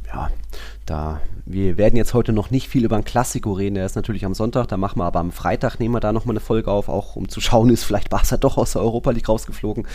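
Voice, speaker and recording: A man's voice: on the low side, fast talker, mellow. A man co-hosting a football podcast, discussing matches and players in an informal, colloquial, casual style.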